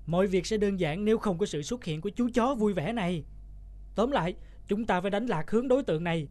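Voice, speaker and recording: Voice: mid-range voice, animated, quick pace, a little mellow, lightly acted. An actor voicing a clever, bossy young pencil child in a children's cartoon, talking in a methodical, explanatory way. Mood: earnest, methodical.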